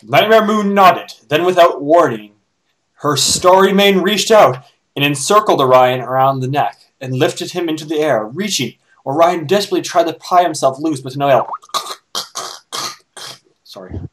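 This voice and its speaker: A man's voice: on the high side, lively intonation, normal speed, a bit bright, poor audio. A man doing a casual dramatic reading of fan fiction, with joking asides.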